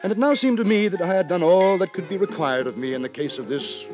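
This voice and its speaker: An actor playing an earnest, long-suffering, moralizing gentleman narrator in a theatrical, formal 1950s radio drama. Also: high voice, fast talker, close to an everyday voice. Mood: indignant, resigned. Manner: theatrical, formal, fretful storytelling. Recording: 1950s radio broadcast with music.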